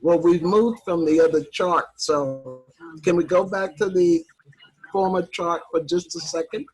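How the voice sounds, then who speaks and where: A woman in her eighties from Harlem, New York, reflecting slowly on her life during a video call workshop, with soft laughs. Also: fairly low pitch, slightly rough, faint music behind, low-quality recording. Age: 80s. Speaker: workshop participant.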